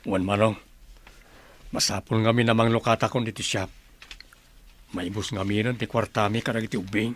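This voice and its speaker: A male actor as an earnest Ilocano tradesman in a radio drama. He talks respectfully, as a younger brother does, in a fairly low voice. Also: steady tone, normal speed, long pauses, natural voice. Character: respectful younger brother running a sewing shop.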